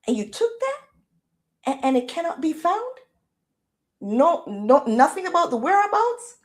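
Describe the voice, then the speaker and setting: A Jamaican woman hosting a casual livestream chat in a loud, projecting voice, firing off rhetorical questions with easygoing slang. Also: high-pitched, very animated, a little slow. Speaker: livestream host.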